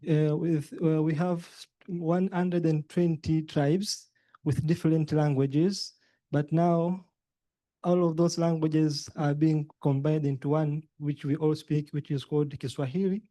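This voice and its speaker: A man's voice: a little high, flat delivery, unhurried, mellow, dry recording. A young man from Tanzania with a Tanzanian accent, a native Swahili speaker, giving a talk with frequent hesitations and restarts. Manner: hesitant, many fillers and restarts. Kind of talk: presentation talk.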